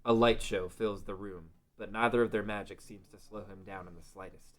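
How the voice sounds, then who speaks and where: Low-pitched male story narrator. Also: steady tone, moderate pace. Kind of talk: scripted story narration at a table read.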